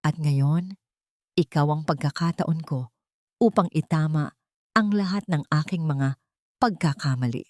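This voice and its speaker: A woman's voice: sits low, measured pace, long pauses, darkish tone, no room sound, clean studio sound. A woman voicing a remorseful, pleading old grandfather, a wealthy patriarch, in a solemn and sentimental audio drama style.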